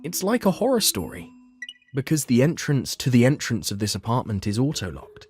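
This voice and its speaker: A man's voice: mid-range voice, huge pitch swings, brisk, a little mellow, a little hiss or hum. A man playing an earnest, anxious young office worker who narrates his own story in a manga dub. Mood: uneasy, bewildered.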